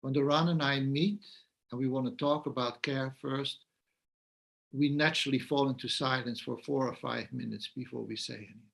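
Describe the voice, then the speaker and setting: A man from the Netherlands, hosting the talk and gently guiding it, passing the floor to others. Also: mid-range voice, steady tone, normal speed, creaky, poor audio. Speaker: host.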